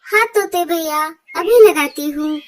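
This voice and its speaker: A woman's voice: very high-pitched, measured pace, slight background noise. A woman voicing a cheerful, polite mother bird who runs a food stall in a children's cartoon. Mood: cheerful, brisk. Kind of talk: Hindi cartoon voice acting. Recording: loud children's music behind the voice.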